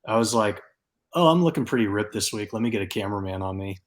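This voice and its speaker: A man's voice: lowish voice, quick pace. A man hosting a casual podcast, talking things through in a loose, reflective way with frequent fillers. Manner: thinks aloud with fillers, relaxed and reflective. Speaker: podcast host.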